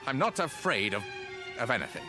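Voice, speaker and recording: Actor as a very animated, defensive British airman. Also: medium pitch, brisk, over music, natural voice. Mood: defensive, nervous. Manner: clipped, defensive, formal. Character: proud, nervous young British World War One pilot. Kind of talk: radio drama acting.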